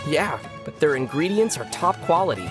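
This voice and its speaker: Low-voiced young male adventurer, teasing played by a woman. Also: expressive, moderate pace, music in the background. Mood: wry. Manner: playful teasing. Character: young male adventurer traveler, teasing, good-natured, wry. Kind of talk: video game character voice lines.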